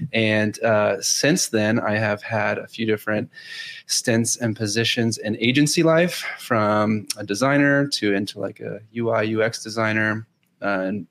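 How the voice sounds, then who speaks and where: A man's voice: lowish voice, vocal fry, a bit bright. A man from Houston, Texas, a podcast guest talking easily with lots of fillers. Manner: easygoing, hesitant fillers. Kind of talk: casual video call chat.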